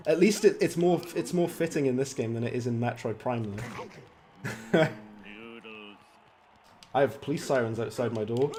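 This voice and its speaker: Chatty Welsh gaming streamer. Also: male voice, mid-range voice, a little mellow. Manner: chatty, laughs often, talks to chat. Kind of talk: live gameplay commentary. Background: game music and sound effects.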